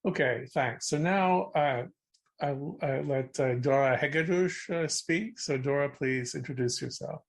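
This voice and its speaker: A seminar chair speaks at a medium pitch. The delivery is made of short moderating remarks that hand the floor over to other speakers. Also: a little slow.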